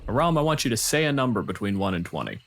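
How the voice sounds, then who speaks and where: A man's voice: on the low side, sing-song, quick pace, fairly bright tone, slight background noise. A man improvising narration as the game master of a tabletop fantasy game.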